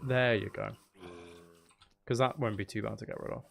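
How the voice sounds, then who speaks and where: A man's voice: on the low side, fairly even intonation, moderate pace, creaky. A man giving casual live commentary while streaming a video game, muttering wry, exasperated asides to himself.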